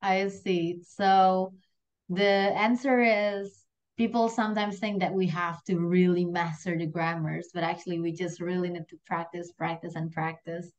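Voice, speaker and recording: A woman's voice: fairly low pitch, fairly even intonation, a little slow. A young woman with an Indonesian accent, hosting an online class in a casual, chatty and giggly way. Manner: chatty, giggly, self-deprecating. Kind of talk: casual chat. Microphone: video call.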